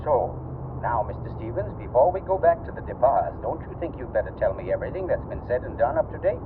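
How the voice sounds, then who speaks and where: An actor playing an urbane, condescending older author turned detective in a vintage 1940s radio drama, speaking with theatrical deliberation. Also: male voice, medium pitch, sing-song, quick pace, recorded from a distance, close to an everyday voice. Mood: smugly deductive. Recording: tinny, noisy vintage radio through car speakers. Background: car ambience.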